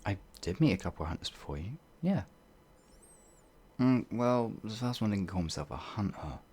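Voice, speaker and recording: An actor playing a bewildered, humble werewolf outlaw in an ASMR fantasy roleplay, with halting, old-fashioned speech and a husky voice. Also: low voice, lively intonation, darkish tone. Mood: content, chatty. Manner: halting, flustered, pleading, old-fashioned wording.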